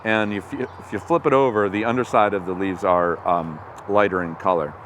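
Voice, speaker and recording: A man's voice: lowish voice, steady tone, a little fast, fairly bright tone, a little hiss or hum. A man hosting a casual outdoor vlog, explaining wild plants with enthusiasm as he walks through the woods. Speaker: host. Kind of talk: casual outdoor foraging talk.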